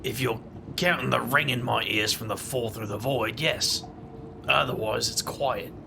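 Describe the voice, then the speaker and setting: A man with a gravelly voice playing a wry hero in a tabletop roleplay, dry and joking, narrating his own moves. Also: mid-range voice, a little slow, background noise. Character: wry young man, a magic-wielder.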